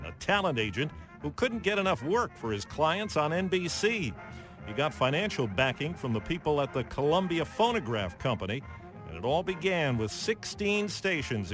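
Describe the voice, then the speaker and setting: Lively higher-pitched American news host. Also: male voice. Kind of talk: scripted broadcast narration. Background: music.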